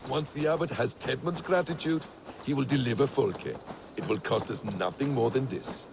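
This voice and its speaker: An actor playing a guarded, cunning mentor warrior in a video game, speaking in a measured, cryptic storyteller's manner. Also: male voice, mid-range voice, noisy background, lightly acted. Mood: assured. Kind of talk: dramatic video game acting.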